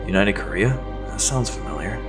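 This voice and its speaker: An actor playing an uncertain, subdued teenage boy with a quiet, hesitant way of talking in an audio drama. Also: on the low side, expressive, moderate pace. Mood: surprised. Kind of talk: science fiction audio drama acting. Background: music.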